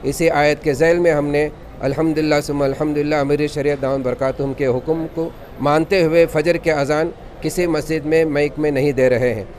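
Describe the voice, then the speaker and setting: A man's voice: fairly high pitch, fairly even intonation, fairly quick, darkish tone, slight background noise. A man, an imam making a formal, devout spoken statement to a news camera. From Karnataka, India. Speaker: imam and khatib.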